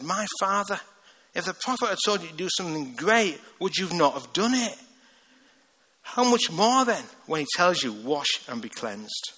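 A man with a Liverpool accent preaching a lively, joking sermon and reading scripture with acted voices. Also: on the high side. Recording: long hall reverb.

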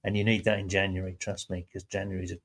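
A man hosting a relaxed faith-based chat, talking reflectively with gentle emphasis. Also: sits low, racing.

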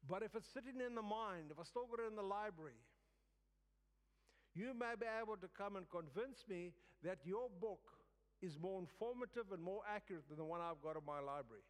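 A man's voice: sits high, expressive. Man preaching in a reverberant hall. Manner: halting, repeats phrases for emphasis. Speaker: guest preacher. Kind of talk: sermon. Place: church service in a large room.

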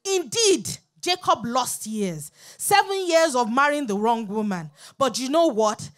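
A woman preaches and leads prayer in a high-pitched voice. Her delivery is fervent and insistent, built on repeated phrases. She exhorts the congregation with urgency. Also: expressive, a little slow.

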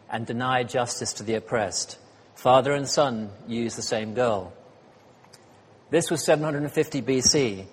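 Husky-voiced man preaching in a hall. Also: medium pitch. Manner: chatty, self-deprecating, many ums and uhs. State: slightly hoarse. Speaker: guest speaker. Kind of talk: sermon with light humor. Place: church hall. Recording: church hall reverb.

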